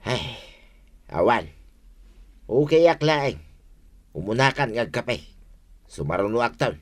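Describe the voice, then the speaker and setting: A man's voice: medium pitch, big pitch swings, slow pace. A man playing a moody village husband in a comedy radio drama. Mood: sullen.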